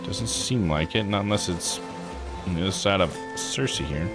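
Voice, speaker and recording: A man's voice: low voice, moderate pace, over loud music. A man streaming a visual novel, reading it aloud with muttered asides and stumbles over unfamiliar names. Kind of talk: live read-aloud commentary of a game.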